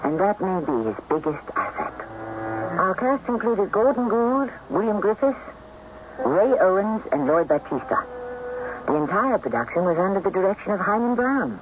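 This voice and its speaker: A man hosting a radio drama, reading scripted narration with theatrical, deliberate emphasis. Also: high-pitched, big pitch swings. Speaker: host. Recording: muffled, noisy old radio broadcast.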